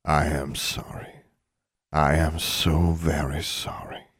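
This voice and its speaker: Male reader as grave fantasy father: low-pitched, solemn, formal, stern, for a dramatic audiobook. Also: fairly even intonation, unhurried, gravelly, a little mellow, no echo, studio quality, heavily acted. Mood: hushed remorse. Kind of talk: dramatic audiobook character acting.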